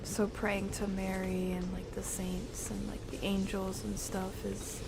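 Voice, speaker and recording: A woman's voice: medium pitch, flat delivery, measured pace, heavy background noise. A woman streaming a game with casual, chatty live commentary and mild substitute swearing.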